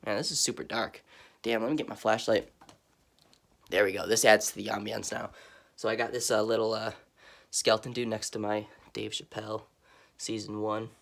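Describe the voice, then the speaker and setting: Young man, stuffy from a cold, lowish voice, rambling casual vlog, phone held at arm's length, bedroom. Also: level tone, moderate pace, gravelly, pauses often, a bit bright. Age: young adult. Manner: chatty, rambling, lots of fillers. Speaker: vlogger. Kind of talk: casual vlog room tour. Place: dark bedroom lit by Halloween lights. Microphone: handheld phone camera at arm's length.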